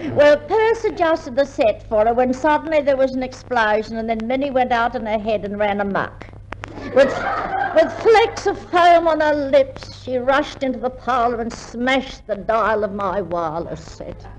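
An actress plays a coy, melodramatic woman who flatters her way along. Her voice is on the high side, theatrical in old radio comedy style, with faint noise on the vintage recording. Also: moderate pace, natural voice. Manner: gushing, theatrical. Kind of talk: 1950s radio comedy acting. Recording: noisy 1950s radio recording.

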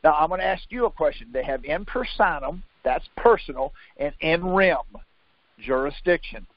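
A man's voice: on the high side, lively intonation, moderate pace, dry recording. A man teaching on a conference call in an informal, insistent way, quizzing and contradicting his listener. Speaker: conference call leader teaching legal theory. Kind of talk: informal instruction by questions. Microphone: conference call line. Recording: muffled lo-fi conference call audio.

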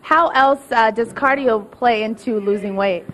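A woman's voice: on the high side, unhurried, low-quality recording. A woman doing an upbeat live morning TV field report from a busy indoor gym, speaking into a handheld mic. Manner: upbeat, bright presenter delivery.

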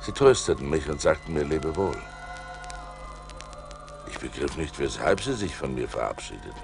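An actor playing an adventurous seafarer who recounts his ordeals theatrically in a German radio play, moving between hushed and forceful delivery. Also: very deep voice, expressive, moderate pace, gravelly, music in the background, full character voice. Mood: grave, hushed. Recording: noisy vinyl record transfer.

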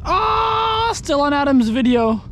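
A young man fishing from a kayak on open water, chatting casually to an action camera and breaking into excited exclamations. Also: very high-pitched, slow, slight background noise. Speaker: kayak angler. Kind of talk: casual fishing vlog commentary. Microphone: action camera mounted on the kayak.

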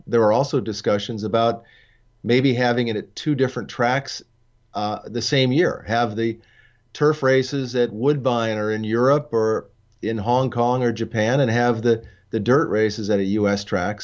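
Older man, measured, dull lo-fi sound. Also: medium pitch, a little mellow. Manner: measured, thoughtful, frequent fillers and restarts. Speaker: co-host and racing journalist. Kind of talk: casual discussion show. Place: studio with dark backdrop.

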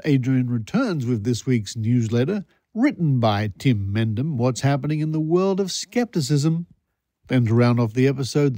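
A man presents a podcast introduction in a mellow, relaxed voice. His delivery is conversational but prepared, with playful, wry asides. The recording is dry, with no room sound. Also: mid-range voice, no echo. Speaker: podcast host.

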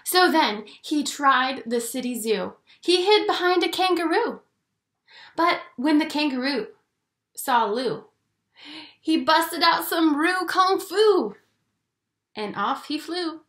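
A woman in her twenties reading a children's story aloud in an expressive, theatrical way. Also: high voice, slow. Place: small indoor home room. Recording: echoey small room.

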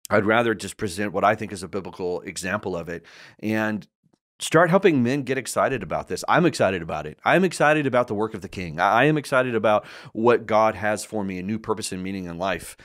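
Earnest pastor hosting a podcast. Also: male voice, fairly low pitch, expressive, fairly quick, fairly bright tone. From United States. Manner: earnest, direct, with frequent restarts and repeated words. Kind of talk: earnest solo podcast address. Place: small studio set indoors. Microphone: large studio mic in front of mouth.

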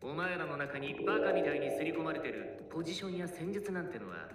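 An actor playing an arrogant, contemptuous soccer coach in an anime, lecturing in a condescending manner. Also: male voice, a little high, animated, quick pace, close to an everyday voice. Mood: intense lecturing. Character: arrogant, contemptuous male soccer coach running a harsh program. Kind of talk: anime voice acting. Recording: distant, echoey, loud music behind.

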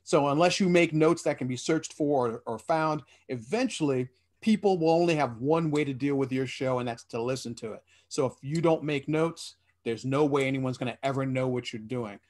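A man's voice: medium pitch, moderate pace, few pauses, darkish tone. A man in his forties on a headset at home, speaking earnestly on a video-call panel and often restarting his sentences. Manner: earnest, explanatory, with frequent restarts. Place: small room indoors.

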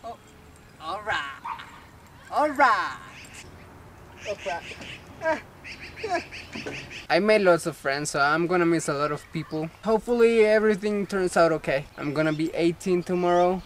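A young man in a casual outdoor vlog, rambling and joking into a camera mic. His voice sits a little high. Faint outdoor ambience hums behind him. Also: expressive, moderate pace, long pauses, a little hiss or hum. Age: young adult. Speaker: vlogger. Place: outdoor playground. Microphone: camera mic at arm's length.

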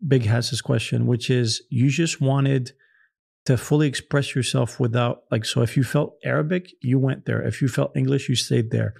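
Gravelly, mellow-voiced male podcast host. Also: mid-range voice, steady tone, moderate pace. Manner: encouraging, frequent "yeah" backchannels. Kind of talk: casual interview chat.

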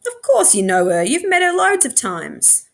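A woman's voice: on the high side, wildly swooping pitch, moderate pace, creaky, pitched above the performer's own voice, exaggerated voice. Woman reading as a mild, placating dad. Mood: reassuring. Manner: reassuring, apologetic. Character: mild, placating father, a music teacher.

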